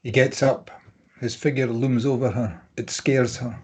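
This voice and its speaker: A man with a husky voice reading stage directions as scripted narration at a table read. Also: medium pitch, steady tone, unhurried.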